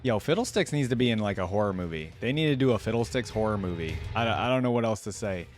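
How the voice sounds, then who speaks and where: A man's voice: fairly low pitch, lively intonation, fast, soft background music. A young adult man giving enthusiastic, informal fan commentary as a reaction video host.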